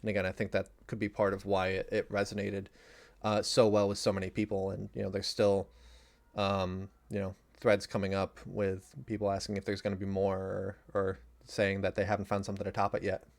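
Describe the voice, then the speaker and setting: A man with a fairly low voice speaks as part of an unscripted panel. He explains his opinion earnestly and conversationally. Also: completely flat delivery, a little fast, gravelly. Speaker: commentary panellist. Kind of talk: unscripted commentary discussion.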